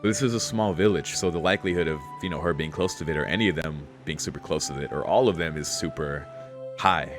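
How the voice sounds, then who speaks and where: Young man, deep voice, casual visual novel commentary, slangy, laid-back, faint music behind. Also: quick pace. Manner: laid-back slangy musing, frequent "like" and "dude". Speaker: streamer playing a visual novel. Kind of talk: casual game commentary, reading text aloud.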